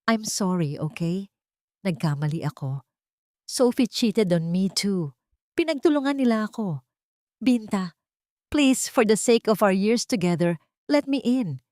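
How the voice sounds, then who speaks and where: A woman's voice: mid-range voice, expressive, unhurried, pauses often, dark tone, dry recording, studio quality, natural voice. A woman with a Filipino accent voicing a desperate, remorseful husband who pleads urgently in an audio drama. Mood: desperate pleading. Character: desperate, remorseful husband begging his wife.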